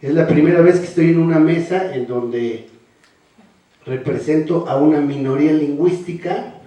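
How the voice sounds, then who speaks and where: An older man giving a measured formal speech as a panelist, often ending phrases with a questioning "no". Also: a little high, warm tone. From Mexico. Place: large hall. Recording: echoey hall, voice from far off.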